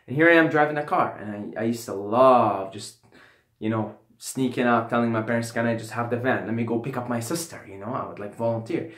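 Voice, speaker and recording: A man's voice: mid-range voice, reverberant. A young man from Syria telling a casual personal story, rambling and often adding "right?" and "you know". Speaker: vlogger.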